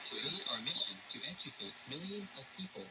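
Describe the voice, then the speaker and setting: A man's voice: on the high side, very animated, fast talker, very noisy. A man presenting scripted news narration over a shortwave radio broadcast.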